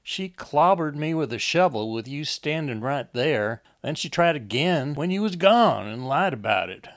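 Audiobook role: scared, insistent young rural woman, performed by a man, raised pitch, urgent folksy delivery. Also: lively intonation, normal speed. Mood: pleading, frightened. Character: wounded, frightened, pleading rural Southern young woman.

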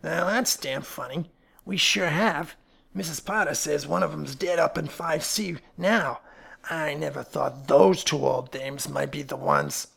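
A man playing a gruff, thick-set working-class building superintendent in an audiobook, growling and blunt, with slangy grumbling. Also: fairly high pitch, unhurried, fairly bright tone, full character voice. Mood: puzzled.

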